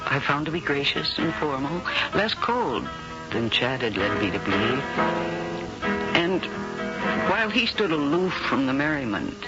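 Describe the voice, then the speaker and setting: An actress playing a curious, well-mannered woman guest in a 1970s radio drama, with an expressive, theatrical delivery. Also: extremely low pitch, measured pace, exaggerated voice. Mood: brooding narration. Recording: dull 1970s radio recording, loud music.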